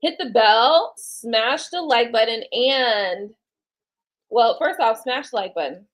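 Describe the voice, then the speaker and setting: A woman's voice: fairly high pitch, expressive, slow pace. A woman reading tarot cards on a casual live stream, rambling and chatting to her viewers and to spirit. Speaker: tarot card reader.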